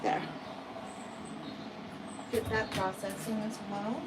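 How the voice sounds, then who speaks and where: An American woman who grooms cats, chatting slowly through a bath demonstration and often asking "right?". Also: mid-range voice, level tone, far from the mic, very noisy. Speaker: cat groomer. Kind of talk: casual livestream grooming demo.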